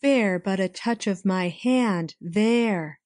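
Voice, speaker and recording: A woman reading a story, voicing a gentle, solemn spirit in a slow, measured way. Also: medium pitch, no echo, studio quality, natural voice.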